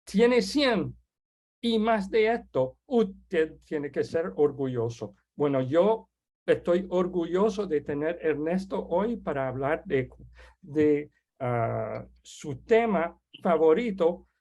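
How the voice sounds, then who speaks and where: A man whose first language is French, hosting an online webinar and introducing a guest speaker in a halting, casual way with many restarts. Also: on the high side, lively intonation, darkish tone. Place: online video call.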